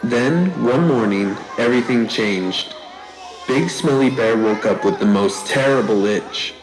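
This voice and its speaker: A storyteller narrating a scripted children's tale in a deliberate, theatrical way. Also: mid-range voice, music in the background, crowd noise, low-quality recording. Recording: live show with audience noise.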